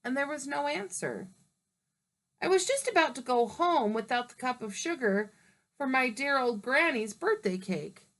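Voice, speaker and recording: A woman reading as a smooth-talking storybook wolf. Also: fairly high pitch, fairly bright tone. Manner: chatty, persuasive storyteller. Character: smooth-talking male wolf telling his side.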